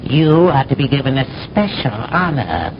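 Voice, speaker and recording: A performer voicing a zealous, suspicious temple high priest in a 1970s radio drama, speaking in fervent ceremonial proclamations over a rumbling, dull vintage recording. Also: very animated, moderate pace, noisy background, lightly acted.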